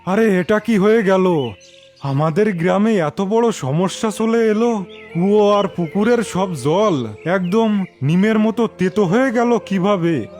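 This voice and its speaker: A man's voice: high-pitched, lively intonation, a little slow, darkish tone, soft background music. A man voicing a worried, puzzled village landlord in a cartoon fairy tale, exclaiming in bewilderment. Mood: dismayed.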